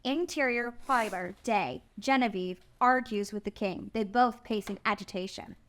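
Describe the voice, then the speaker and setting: A woman's voice: mid-range voice, normal speed, a bit bright. A woman narrator reading out the stage directions and scene headings of a screenplay.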